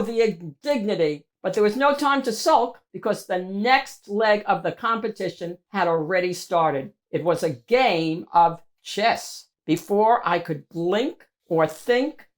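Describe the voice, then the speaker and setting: A woman narrating a children's picture book in an expressive, emphatic storytelling manner. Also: lowish voice. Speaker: children's read-aloud host.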